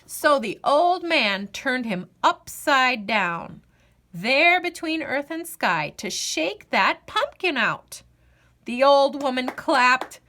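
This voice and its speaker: A woman reading a children's storybook aloud as a storyteller, in a deliberate, dramatic, expressive way. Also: high-pitched. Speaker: storyteller, host of a read-aloud show.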